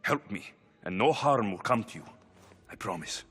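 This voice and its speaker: A determined game captain who speaks low with a lively, shifting pitch. He is firm and presses for answers. Faint music sits under the lines. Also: normal speed, husky. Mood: pressing. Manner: firm and intent, quietly pressing. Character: determined young male mercenary captain. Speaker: game protagonist.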